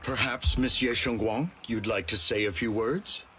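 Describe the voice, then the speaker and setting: An actor playing a dignified, solemn city mayor giving a formal, oratorical speech in a game. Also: male voice, medium pitch, normal speed, noisy background, lightly acted. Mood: solemn, proud. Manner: oratorical, stately. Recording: echoing public-address sound, music behind.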